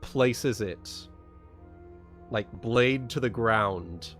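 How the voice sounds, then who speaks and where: A man narrating and explaining a tabletop game as game master, in a halting way with repeated phrases. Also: medium pitch, fairly bright tone, soft background music.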